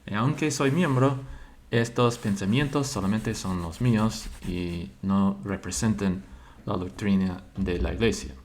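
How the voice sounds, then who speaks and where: A man, a church member slowly sharing his religious thoughts and pausing to search for words in a language he learned later. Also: fairly low pitch, darkish tone, slight background noise. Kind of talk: slow personal religious monologue.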